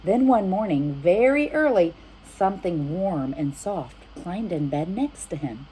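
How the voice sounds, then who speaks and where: A woman's voice: on the low side, animated, unhurried, mellow, a little hiss or hum, poor audio. A woman reading a picture book aloud as a children's storyteller, speaking directly to young listeners. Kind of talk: animated picture-book narration with asides to children.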